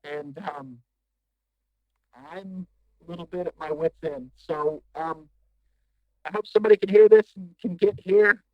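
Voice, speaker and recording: A man's voice: high voice, unhurried, long pauses, no echo, highs cut off. A man playing a desperate, shaken corporate agent stranded after a crash, stammering and pleading in a recorded sci-fi distress message. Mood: weary, hopeless.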